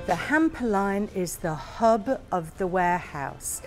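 A woman guiding a warehouse tour, explaining each area in a slow, plain way. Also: medium pitch, lively intonation, over music. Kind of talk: guided tour narration.

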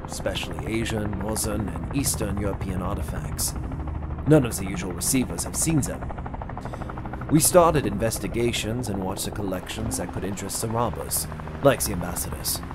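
An actor playing a suave, guarded secret service agent in a video game. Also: male voice, fairly low pitch, animated, moderate pace, heavy background noise, natural voice. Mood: businesslike, guarded. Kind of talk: video game cutscene acting. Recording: loud music under the voice.

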